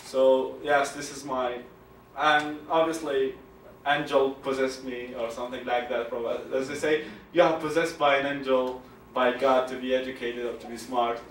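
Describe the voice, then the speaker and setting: A young man from Iraq, Arabic native, with an Iraqi Arabic accent, answering audience questions at a talk in a halting way, repeating words and laughing often. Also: mid-range voice, flat delivery, slight background noise. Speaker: guest speaker. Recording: echoey room from far off, faint ambience.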